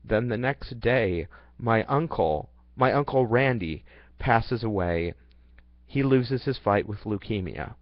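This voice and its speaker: A man's voice: fairly low pitch, expressive, takes it slowly, no echo. A young man reading his personal life story aloud in an earnest, plain way, now and then stumbling and restarting. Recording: dull, dry lo-fi recording.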